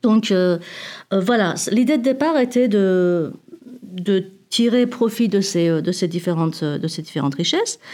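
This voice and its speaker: A woman's voice: medium pitch, animated, moderate pace, a little mellow. A woman from Iran, a university researcher answering questions about her project, speaking with many fillers and restarts. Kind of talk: academic interview answer.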